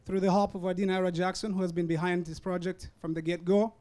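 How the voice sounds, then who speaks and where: A young man from Rwanda, a student, giving an earnest, measured public talk with some hesitations; English is not his first language. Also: high-pitched, flat delivery, darkish tone. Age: young adult. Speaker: student speaker. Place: university event tent. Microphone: hand-held or stand mic.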